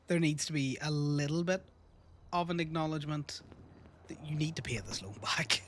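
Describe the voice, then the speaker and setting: A man's voice: fairly high pitch, fairly bright tone. A man with an Irish accent talks into his desk mic while fighting off a cold, all sniffles and a tickly cough. He chats casually and pokes fun at himself. Faint noise sits under his voice. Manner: chatty, self-mocking, wry. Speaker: streamer. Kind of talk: casual livestream chat. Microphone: desk microphone.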